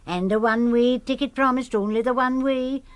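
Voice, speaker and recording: Woman playing a high-voiced Scottish widow. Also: fairly even intonation, normal speed, no room sound, close to an everyday voice. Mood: frank, confiding. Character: plain-spoken widowed Scottish governess, grimly confiding. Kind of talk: radio play acting.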